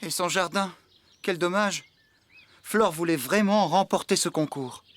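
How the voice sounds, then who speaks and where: A man's voice: sits high, unhurried, a bit bright. A man playing a good-natured, bumbling farmhand in a children's cartoon dub, eager and exclamatory. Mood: concerned. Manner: exclamatory, muttering to himself. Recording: music behind the voice.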